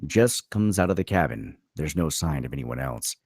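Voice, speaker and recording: A man's voice: rumbling low, expressive, normal speed, a little mellow, slightly rough, dry recording, studio quality. A man narrating stage directions as scripted narration at a table read.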